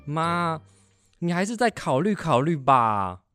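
A man's voice: on the high side, big pitch swings, takes it slowly, a bit bright, smooth, faint music behind, heavily acted. A man playing a worried, nagging middle-aged father in a family radio drama, insistent and exasperated.

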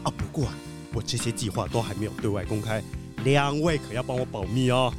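A man's voice: mid-range voice, brisk, music in the background, lightly acted. A man playing a boastful, cheerful animal diplomat and sports champion in a children's detective audio drama, chatty and full of exclamations. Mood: confiding.

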